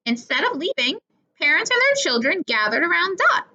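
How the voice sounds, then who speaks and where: A woman reading a children's story aloud in an expressive, deliberate manner, acting out the dialogue. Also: extremely high pitch. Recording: dull lo-fi recording.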